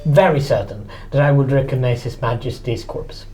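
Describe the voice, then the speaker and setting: A man's voice: mid-range voice, normal speed, over loud music. A man role-playing casually as a tabletop game player, with a wordy, mock-formal way of talking. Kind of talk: casual role-play chat.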